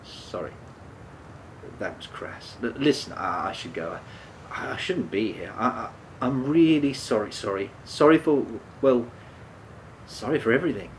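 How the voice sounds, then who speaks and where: A man's voice: measured pace, poor audio. An actor in a radio play playing a remorseful, defensive man who speaks hesitantly, stumbling and repeating himself. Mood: apologetic, guilty. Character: remorseful, defensive man haunted by a fatal drunk-driving crash. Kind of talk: naturalistic radio play dialogue. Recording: steady background noise, low rumble.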